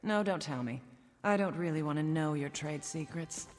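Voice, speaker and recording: A woman's voice: low voice, normal speed, music in the background, natural voice. A woman voicing a haughty, worldly female governor in a video game, with a pompous, condescending manner. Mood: haughty, sardonic. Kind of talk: dramatic video game dialogue.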